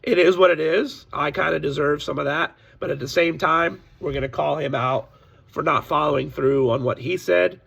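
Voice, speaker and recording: Hoarse, gravelly man vlogging. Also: fairly high pitch, moderate pace, fairly bright tone. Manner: candid, complaining, warning viewers. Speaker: sports card seller. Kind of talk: casual direct-to-camera vlog.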